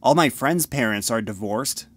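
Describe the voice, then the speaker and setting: A man's voice: mid-range voice, animated, moderate pace, fairly bright tone, dry recording, studio quality. A man playing a dismissive, irritable teenage older brother in an audio drama, with a youthful, curt delivery. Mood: irritated. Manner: curt, impatient.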